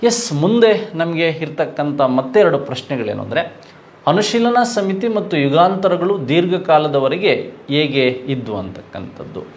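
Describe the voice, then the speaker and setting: A man's voice: on the high side, expressive, measured pace, a little hiss or hum. A young man, teaching as an exam coaching teacher, walking through quiz questions and answering his own questions. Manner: explanatory, repeats key answers, asks and answers own questions.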